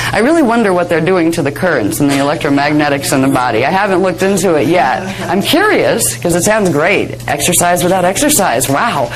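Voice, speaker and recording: A woman with a hoarse voice giving a spiritual workshop lecture, chatty and digressive, laughing often. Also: on the low side, poor audio. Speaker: spiritual teacher. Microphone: handheld microphone near face.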